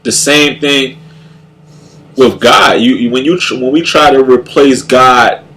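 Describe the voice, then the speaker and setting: A young man speaks slowly through a stuffed-up nose, and his voice comes out nasal. He talks in a loose, reflective way, often repeats words and starts his sentences over. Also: mid-range voice, sharp tone. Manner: halting, many repeated words and restarts. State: congested, nasal. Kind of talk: casual spoken reflection on faith.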